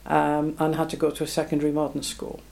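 A woman's voice: low voice, monotone, fast talker, gravelly, warm tone. A woman in her seventies, born in Belgium, recalling her life in an oral history interview, reflective and plain-spoken. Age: 70s.

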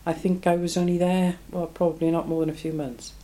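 A woman's voice: fairly low pitch, little pitch movement, brisk, dark tone, low-quality recording. A woman in her seventies, born in Belgium, recalling her life in an oral history interview, reflective and plain-spoken. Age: 70s.

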